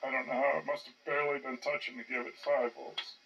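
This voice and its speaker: An older man giving casual, rambling commentary as he tinkers, halting and muttering to himself. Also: mid-range voice, level tone, vocal fry, fairly bright tone, highs cut off. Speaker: hobbyist narrating his own projects. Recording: distant mic with faint background noise.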